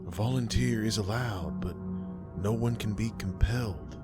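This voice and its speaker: A man playing a stern, calm rural church elder in a horror story, measured and solemn. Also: on the low side, loud music behind the voice, natural voice. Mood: solemn firmness.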